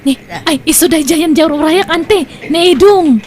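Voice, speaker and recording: A woman's voice: extremely high pitch, measured pace, a crowd in the background. A woman playing a coy, secretive young woman in a comedy drama, with an Ilocano accent. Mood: hushed, secretive. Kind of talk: Ilocano comedy radio-style drama acting.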